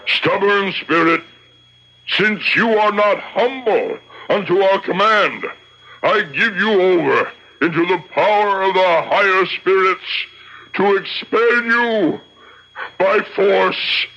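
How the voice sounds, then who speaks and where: A solemn, forceful rabbi judge. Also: male voice, high-pitched, full character voice. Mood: commanding, forceful. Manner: solemn, measured, declamatory. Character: solemn, commanding rabbi judge conducting a tribunal and exorcism. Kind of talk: theatrical radio drama acting. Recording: dull lo-fi old radio recording.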